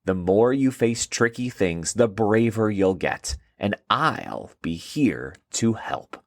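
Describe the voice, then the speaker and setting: Man voicing a shy, gentle brain buddy girl. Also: on the low side, expressive, slow pace, no room sound, clean studio sound. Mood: reassuring.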